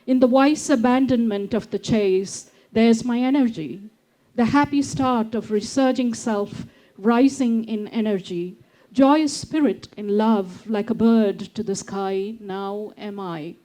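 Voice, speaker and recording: Female poet from India, bright-pitched but mellow, measured reader, halting speaker. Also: low-quality recording. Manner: measured recitation, then hesitant chat with many fillers. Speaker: poet on a festival panel. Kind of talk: poetry reading then panel talk.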